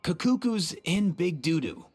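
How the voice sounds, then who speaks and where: A man's voice: high voice, sing-song, unhurried, heavy background noise. An actor playing a laid-back, slangy young man in a game, a wry and exasperated caretaker of his friends. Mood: worried, wry. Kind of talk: video game cutscene acting. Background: soft game music.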